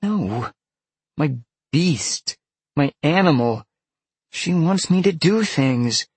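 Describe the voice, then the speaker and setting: A man's voice: a little high, animated, slow pace, husky, dry recording, pitched above the performer's own voice, heavily acted. A man voicing an upset, defiant young female fox shapeshifter in an audiobook, pleading and protesting. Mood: distressed. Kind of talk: audiobook character acting.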